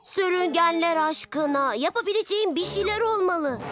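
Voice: extremely high pitch, normal speed, over music. An actor playing an earnest, stubborn little boy lizard superhero in a dubbed children's cartoon. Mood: resolute.

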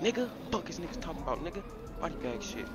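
A man's voice: on the high side, very animated, very fast, over loud music. A man trash-talking during an online role-play game, in muffled stream audio.

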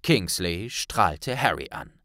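A man's voice: low voice, sing-song, slow pace, bright, forceful tone, no echo, clean studio sound. A man narrating an audiobook in a dramatic scripted storytelling style.

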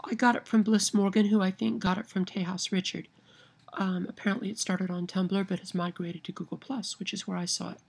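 Nasal Midwestern woman with a gravelly edge. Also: medium pitch, little pitch movement, brisk, a little mellow. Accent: Midwestern American. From Kansas. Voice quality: nasal twang. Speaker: vlogger. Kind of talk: reading a word list and a novel passage aloud. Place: home room by window blinds, indoors. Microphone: webcam at arm's length.

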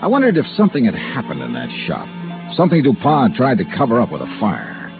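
A man's voice: mid-range voice, huge pitch swings, fast talker. An actor playing a dogged, wry insurance investigator in a 1950s radio detective drama, clipped and dry as he talks and narrates. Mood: brisk, pondering. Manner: clipped, dry, hard-boiled. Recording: tinny band-limited old radio broadcast, music.

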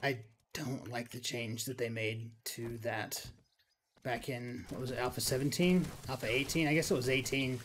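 A man's voice: mid-range voice, steady tone, moderate pace, noisy background. A man giving casual, rambling gaming commentary, thinking aloud and grumbling mildly as he builds. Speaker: gaming video creator.

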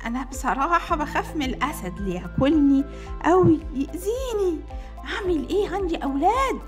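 A woman plays a frightened storybook snake, a worried parent. The voice is high and anxious with an Egyptian accent, over soft music. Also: big pitch swings, a little fast. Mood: fearful. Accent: Egyptian Arabic.

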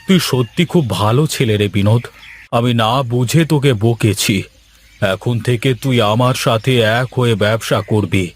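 A man's voice: medium pitch, moderate pace, lightly acted. A man voicing a blustering, bossy shrimp-farm owner in a cartoon, with a domineering manner. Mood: conciliatory. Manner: scolding, domineering. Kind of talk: cartoon dialogue acting.

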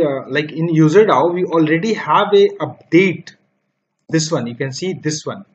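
A man teaching programming over a screen recording, dictating code step by step with frequent fillers. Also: on the high side. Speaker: programming tutor. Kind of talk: screen-recorded coding tutorial. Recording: dull lo-fi mic with faint noise.

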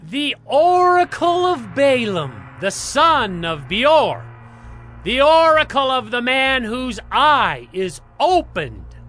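Fervent high-pitched prophet proclaiming oracles. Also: male voice, sing-song, pushed and bright, soft background music, heavily acted. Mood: exalted proclamation. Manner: declamatory, drawn-out, fervent. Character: impassioned male prophet proclaiming solemn oracles. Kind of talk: dramatized scripture reading.

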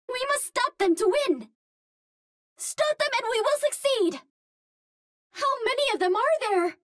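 A woman's voice: very high voice, a bit bright, dry recording, clean studio sound, exaggerated voice. A woman playing a cute, earnest young female Martian hero in a video game, speaking in precise, formal phrases. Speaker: voice actor in a hero shooter game. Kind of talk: video game character voice lines.

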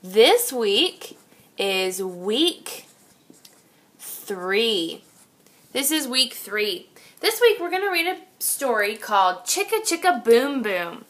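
High, bright, slow woman reading to children. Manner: expressive, sing-song, addressing young children. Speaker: teacher reading aloud to children. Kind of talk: children's picture-book read-aloud.

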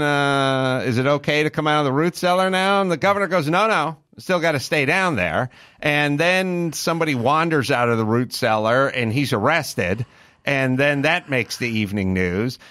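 A man's voice: fairly high pitch, bright, forceful tone, no room sound, studio quality. Middle-aged man spinning comic hypotheticals. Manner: rambling hypotheticals, comic exasperation. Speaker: host. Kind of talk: casual podcast talk. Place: podcast studio. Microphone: studio boom mic with headphones.

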